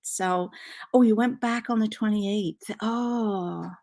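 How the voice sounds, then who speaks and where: A middle-aged woman from Liverpool with a Canadian accent and faint Liverpool traces, hosting a casual knitting live stream and chatting excitedly with many fillers. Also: medium pitch, slow. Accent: Canadian English with faint Liverpool traces. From Liverpool, England. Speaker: knitting channel host. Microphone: laptop mic.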